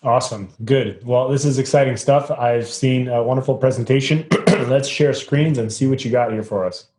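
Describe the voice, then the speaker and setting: A man's voice: mid-range voice, moderate pace, darkish tone. A man hosting a casual online session, chatting agreeably with emphatic affirmations. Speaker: host. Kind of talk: casual online interview chat.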